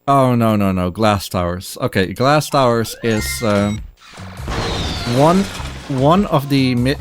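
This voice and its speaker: A man's voice: mid-range voice, expressive. A man giving casual, rambling live gameplay commentary as a speedrunner, often interrupting himself. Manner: halting, rambling, self-interrupting. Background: game music.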